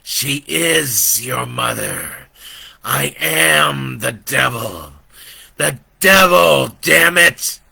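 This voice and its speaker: Brief: a sinister male storybook devil, taunting and drawn-out, put on by a male narrator. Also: mid-range voice, lively intonation, creaky, sharp tone, breathy edge, no room sound, voice pushed up, heavily acted. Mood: insistent.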